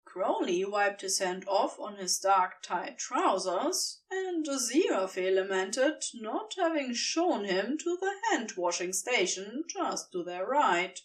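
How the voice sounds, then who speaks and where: A woman narrating scripted fiction with an expressive, tender storytelling manner. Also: medium pitch, takes it slowly, hardly any pauses, distant mic.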